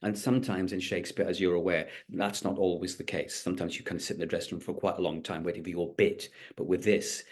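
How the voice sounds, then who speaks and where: A man's voice: low-pitched, little pitch movement, fast, few pauses. A middle-aged man with a light Welsh accent, a stage actor answering interview questions thoughtfully, with frequent restarts. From Wales. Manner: thoughtful, many restarts and fillers.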